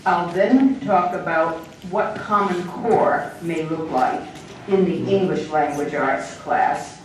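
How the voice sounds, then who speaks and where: An older woman giving a measured, explanatory public talk as an invited speaker. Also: lowish voice. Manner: measured, explanatory, with small laughs. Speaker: invited speaker on education standards. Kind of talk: public lecture. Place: echoey hall. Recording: echoey hall, distant mic, faint noise.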